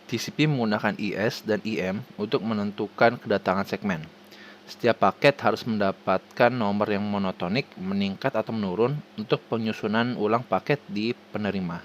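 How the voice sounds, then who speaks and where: Indonesian guy reading out a formal presentation, kinda low voice, trips on words and restarts, bit of background noise. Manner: formal, halting, stumbles over words and restarts them. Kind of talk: scripted presentation read aloud.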